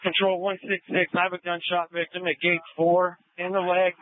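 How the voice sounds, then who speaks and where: A man's voice: sits high, monotone, normal speed, dry recording, phone-quality sound. A male police officer making urgent, clipped emergency transmissions over a police radio.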